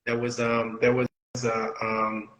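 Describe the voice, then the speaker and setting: Brief: man, Haitian Creole native speaker raised in the Bahamas, easygoing podcast co-host, mid-pitched, thoughtful and filler-heavy. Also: monotone, a little slow, a bit bright, rough recording. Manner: reflective, frequent "you know" fillers. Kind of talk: casual conversational podcast.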